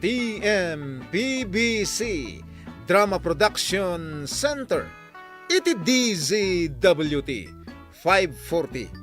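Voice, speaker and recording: High, animated Ilocano male radio announcer. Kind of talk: dramatic scripted radio announcing.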